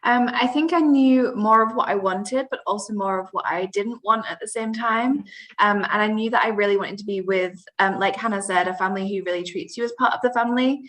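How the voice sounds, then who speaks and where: Woman from the uk, twenties, relaxed au pair, casual chat, video call. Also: mid-range voice, brisk. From United Kingdom. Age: 20s. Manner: relaxed, fillers "um" and "like".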